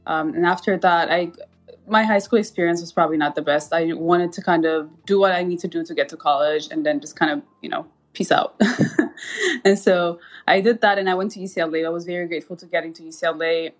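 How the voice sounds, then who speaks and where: A woman's voice: lowish voice, brisk, soft background music. A woman from Ethiopia, in her thirties, a guest recounting her path in a casual interview, talking with many fillers and restarts. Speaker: guest, anesthesiologist.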